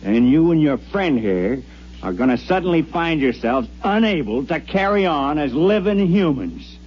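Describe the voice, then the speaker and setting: Blunt, menacing miner, highish voice. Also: male voice, sing-song, normal speed, breathy edge. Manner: tough, slangy, threatening. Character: menacing, blunt male space miner tough guy. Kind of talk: 1950s radio drama acting. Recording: muffled, hissy 1950s radio broadcast.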